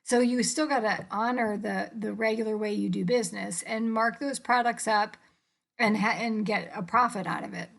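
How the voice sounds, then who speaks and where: A woman's voice: mid-range voice, moderate pace, gravelly, a bit bright. An American woman giving an informal, explanatory lecture as an instructor, in colloquial language with casual check-ins. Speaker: accounting instructor.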